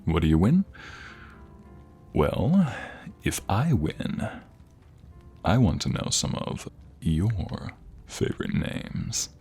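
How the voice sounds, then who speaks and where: A man playing an affectionate, playful husband in an intimate ASMR roleplay, speaking tenderly and teasingly with breathy, whispery softness. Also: low voice, big pitch swings, faint music behind, exaggerated voice. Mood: flirty, teasing.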